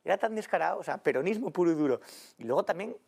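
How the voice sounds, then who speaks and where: A man from Asturias rattles off indignant political commentary at a rapid clip. His voice has a gravelly, creaky edge, and he presses his points hard in a studio panel debate. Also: fairly high pitch, expressive, darkish tone. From Asturias, Spain. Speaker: panel guest.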